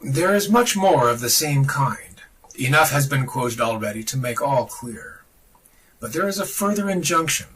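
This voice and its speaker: A man reading a religious text aloud in a solemn, dramatic style, declamatory and deliberate, with emphatic pauses. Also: mid-range voice, expressive, a bit bright, breathy edge, recorded from a distance, rough recording. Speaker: podcast reader of esoteric texts.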